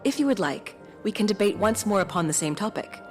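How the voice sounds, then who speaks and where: A woman playing a calm, formal woman who speaks politely and with measured logic. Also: medium pitch, faint music behind, natural voice.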